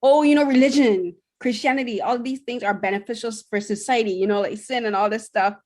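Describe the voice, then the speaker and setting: A woman's voice: a little high, brisk, darkish tone. Chatty woman from Barbados, podcast co-host. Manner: chatty, many fillers and restarts. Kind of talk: casual podcast chat, reviewing shows.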